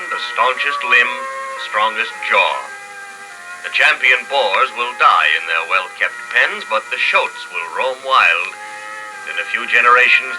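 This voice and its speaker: A solemn, clinical male observer, played by an actor declaims in measured phrases. His voice is mid-pitched, set over loud music in a vintage radio drama. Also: lightly acted, rough recording. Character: detached, solemn scientific observer voicing ecological notes. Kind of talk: 1950s radio drama narration. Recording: old radio broadcast with music bed.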